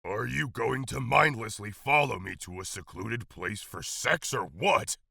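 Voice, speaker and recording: Male actor as a raspy, gravel-voiced giant mantis monster, hungry and mocking; bright and tight in tone, dry mic. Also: medium pitch, unhurried, dry recording, exaggerated voice. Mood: blunt. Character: man-eating giant mantis monster in disguise. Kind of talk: audio drama acting.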